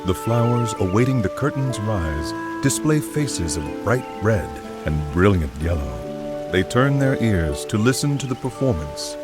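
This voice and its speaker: A poetic game narrator with a very deep voice and lively intonation. He speaks in solemn, measured storybook style over loud music and running water. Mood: lyrical. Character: poetic male storyteller setting a pastoral scene.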